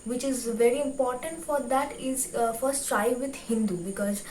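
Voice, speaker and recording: A woman's voice: on the high side, moderate pace, a little mellow. A young woman from West Bengal with a Bengali Indian accent, a native Bengali speaker, gives informal advice to viewers as a vlog host, often repeating and rephrasing herself. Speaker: YouTube host. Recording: roomy, distant mic with faint noise.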